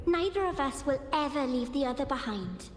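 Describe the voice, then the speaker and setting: A woman's voice: high voice, expressive, moderate pace. A woman voicing an earnest, affectionate young girl, a devoted friend in a game. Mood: warm, earnest. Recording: long echoing reverb, faint music.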